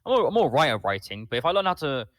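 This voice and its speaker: A man's voice: medium pitch, big pitch swings, rapid-fire, fairly bright tone, no echo, clean studio sound. A teenage boy from Spain with a Madrid Spanish accent, co-hosting a casual podcast chat, stammering and filling gaps with "you know". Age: teens. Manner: stammering, restarts often, says "you know" a lot. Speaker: podcast co-host.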